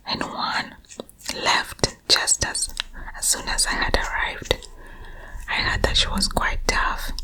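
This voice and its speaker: Female, from Uganda, for whispered ASMR storytelling: very slow, unvoiced delivery, chatty and meandering. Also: poor audio. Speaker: storyteller recalling her own school days.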